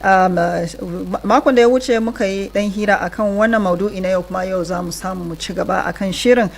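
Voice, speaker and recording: A woman's voice: medium pitch, fast talker, vocal fry. A woman with a Nigerian accent hosting a bilingual radio interview programme. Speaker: radio host.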